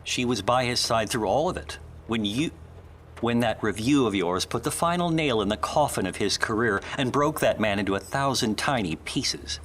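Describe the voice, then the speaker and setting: A man portrays a stiff, devoted assistant in a noir radio play, formal and quietly indignant. His mid-pitched voice moves expressively, with faint noise behind it. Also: expressive, moderate pace, lightly acted. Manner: formal, precise, reproachful. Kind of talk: film noir audio drama acting.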